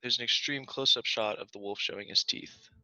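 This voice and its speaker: A man's voice: medium pitch, steady tone, a little fast, pushed and bright, dry recording. A man reading camera shot directions at a script read, with muffled video call audio.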